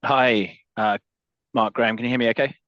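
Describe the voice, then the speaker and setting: A man calling in as a financial analyst, asking questions on an earnings call in halting phrases. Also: medium pitch, fairly even intonation, dry recording. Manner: halting, trails between phrases. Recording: dull, band-limited phone conference audio.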